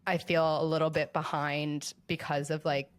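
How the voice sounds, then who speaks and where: A woman's voice: low-pitched, flat delivery, moderate pace, a little hiss or hum. A woman in her late twenties, a podcast guest in casual chat, talking with lots of "like" fillers. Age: late 20s. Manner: chatty, heavy use of filler "like".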